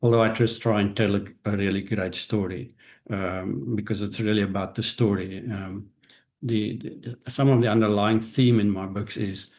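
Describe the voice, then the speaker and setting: A man's voice: on the low side, steady tone, moderate pace, darkish tone. A South African man, a native Afrikaans speaker, answering interview questions reflectively, with frequent restarts and hesitations. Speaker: guest, author and business strategist.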